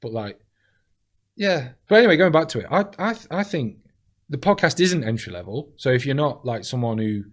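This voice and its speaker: A man talking in casual chat as a comedian guest, deadpan and blunt, swearing freely as he tells stories. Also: mid-range voice, normal speed.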